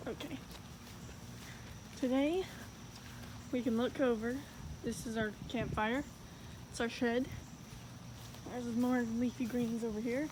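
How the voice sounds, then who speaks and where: A woman vlogging casually from her backyard and home, chatty and giggly, rambling as she goes. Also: on the high side. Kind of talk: casual vlog chat. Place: backyard outdoors, then indoors. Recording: noisy home video recording.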